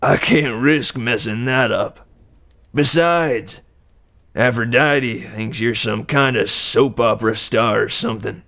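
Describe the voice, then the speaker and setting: A brash god of war, as a male reader voices him taunts in a gruff, unhurried voice. He sounds menacing and sure of himself, in a pitch close to the reader's own. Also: fairly bright tone. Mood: taunting.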